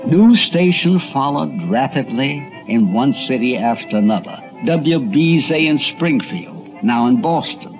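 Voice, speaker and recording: A man narrates a radio documentary in a lively, fairly high voice. The recording is dull and old-fashioned, with some music behind him. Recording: dull vintage broadcast audio.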